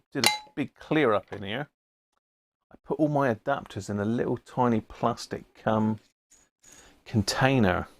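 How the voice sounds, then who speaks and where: A man's voice: mid-range voice, slight background noise. A British man hosting a casual livestream, thinking aloud in a rambling, self-mocking mutter. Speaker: livestream host showing radio gear.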